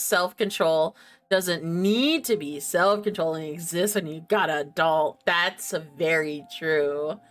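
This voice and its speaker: A woman's voice: on the low side, fairly bright tone. A woman hosting a game livestream, chatting casually and playfully to herself and her viewers in a giggly manner. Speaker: game streamer, host.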